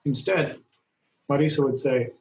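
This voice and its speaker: A man teaching an English grammar lesson, speaking slowly and deliberately, and raising his voice when he reads example dialogues aloud. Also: mid-range voice, darkish tone. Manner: patient, deliberate, lifts his voice when reading quoted lines. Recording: dull, roomy sound from a distance.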